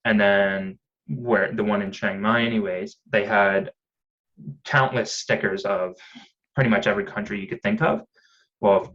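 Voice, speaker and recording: A man's voice: on the low side, a bit bright, dull audio. A man, chatting casually in a rambling way with lots of fillers and restarts. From Canada. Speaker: podcast guest. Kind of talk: casual conversation.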